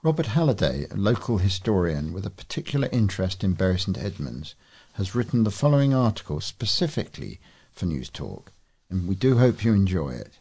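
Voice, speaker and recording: A man's voice: low voice, sing-song, brisk, darkish tone, slightly rough. A man reading scripted local news as a volunteer reader. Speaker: volunteer newsreader for a local talking newspaper.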